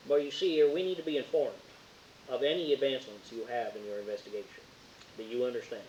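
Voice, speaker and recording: A man's voice: mid-range voice, fairly even intonation, fast talker, a little mellow, close to an everyday voice. A man voicing a stern, territorial lawman with an exaggerated Southern drawl and a folksy, bossy delivery in a tabletop game. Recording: dull roomy audio with faint hiss.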